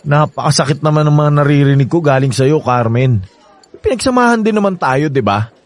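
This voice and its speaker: An actor playing a menacing, obsessive ex-lover in a radio drama, with an insistent, taunting manner. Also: male voice, on the high side, expressive, normal speed, dry recording, close to an everyday voice. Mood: taunting, pleading. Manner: insistent, taunting, with mocking drawl. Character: menacing, obsessive married ex-lover of a younger woman.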